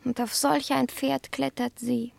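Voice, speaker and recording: A disdainful female cat in a radio play. The voice sits fairly high with a marked creak, recorded very dry and close. Every phrase is delivered with theatrical, affronted grandeur. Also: level tone, measured pace, dry recording, exaggerated voice. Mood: indignant, dramatic. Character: haughty, affronted, dramatic female house cat. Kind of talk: German radio play acting.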